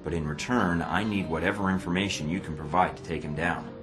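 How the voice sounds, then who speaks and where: A man's voice: sits low, fairly quick, natural voice. An actor playing a terse, dry-witted, guarded male spy operative in a video game drama, with sardonic control. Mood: firm, persuasive. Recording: music playing behind the voice.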